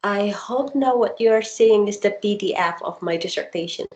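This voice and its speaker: A woman's voice: mid-range voice, moderate pace, darkish tone, reverberant. A woman whose first language is Indonesian, a researcher answering a question about her dissertation on a video call and explaining with examples. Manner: thoughtful, explains with examples, many ums.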